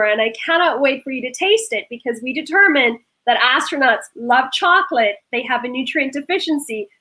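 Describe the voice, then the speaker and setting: A woman's voice: on the high side, bright, forceful tone, rough recording. A woman who grew up in Norway, speaking earnestly and with passion as a panelist in a discussion. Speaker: panelist, food startup founder.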